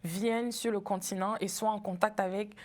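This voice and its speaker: A woman's voice: medium pitch, steady tone, a little mellow. Cameroonian woman, laughing easily. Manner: friendly, laughs easily, thoughtful pauses with fillers. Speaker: festival founder, guest. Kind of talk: TV interview conversation.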